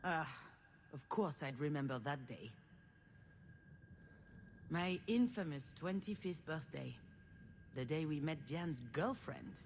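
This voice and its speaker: A woman's voice: low voice, slow, long pauses, a little hiss or hum, full character voice. An actress playing a bitter, self-deprecating young woman in a video game, with dramatic dialogue and confessional inner narration. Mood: wry reminiscence. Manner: sarcastic, self-deprecating, expressive. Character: bitter, sarcastic young woman looking back on her life.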